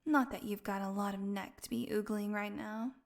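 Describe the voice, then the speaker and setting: A woman playing a starved, flirty, sardonic captive vampire in an intimate audio roleplay, teasing and slipping into breathy whispers. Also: medium pitch, fairly even intonation, moderate pace, lightly acted. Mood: bitter, sarcastic. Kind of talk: audio roleplay acting, intimate one-sided dialogue.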